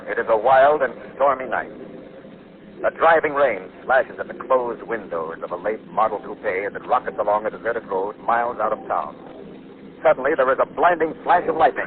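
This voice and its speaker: A man giving dramatic scripted narration in a 1940s radio drama style. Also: on the low side. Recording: scratchy, tinny 1940s radio recording.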